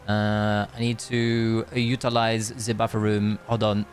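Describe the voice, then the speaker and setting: A man's voice: on the low side, completely flat delivery, measured pace. A man chatting casually on a game stream, rambling with joking asides and reading bits of story dialogue. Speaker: live streamer. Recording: music playing behind the voice. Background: game music.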